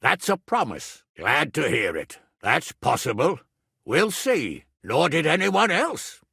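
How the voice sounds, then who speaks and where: A gruff middle-aged nobleman and guardian in a medieval video game. His voice is forceful and gravelly, and he takes his time over his words. He is blunt, earthy and used to giving orders. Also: a little high, wildly swooping pitch, slow pace, dry recording, studio quality, exaggerated voice. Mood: weary, firm. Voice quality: gravelly, raspy. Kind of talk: dramatic video game dialogue.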